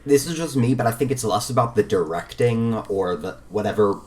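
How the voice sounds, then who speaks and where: A man's voice: lowish voice, fairly quick, a little mellow. A man co-hosting a gaming podcast, explaining his views in informal, casual chat. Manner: informal, sweary, reasoned explaining. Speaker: podcast co-host.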